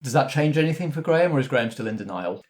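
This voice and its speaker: A man's voice: medium pitch, expressive, very fast. A man, the game master and host, chatting casually and explaining things in a talkative way as he looks back on a game. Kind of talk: casual behind-the-scenes discussion of a tabletop game.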